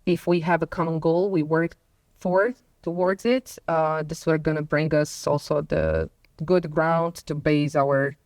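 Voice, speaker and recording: Croatian-born woman chatting as a guest, low voice, lots of ums, dead dry sound. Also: lively intonation, measured pace, darkish tone, dry recording. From Croatia and Bosnia and Herzegovina. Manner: hesitant, many fillers, laughs between points. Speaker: podcast guest. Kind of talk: casual conversation.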